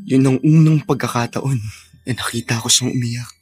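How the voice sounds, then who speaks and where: A man playing an earnest, respectful young officer in a Filipino radio drama, polite and gentle, with a Filipino accent. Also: medium pitch, fairly even intonation, normal speed, breathy edge, soft background music. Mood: earnest, concerned. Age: young adult.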